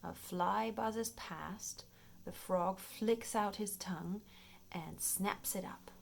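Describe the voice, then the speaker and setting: A woman reading a children's nature book aloud in an expressive, playful storytime manner, paced for young listeners. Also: medium pitch, slow, vocal fry, slight background noise. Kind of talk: read-aloud children's nonfiction storytime narration.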